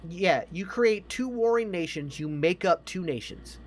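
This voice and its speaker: A man's voice: high voice, lively intonation, measured pace. A man chatting casually about movies as a podcast co-host, emphatic, opinionated and given to swearing.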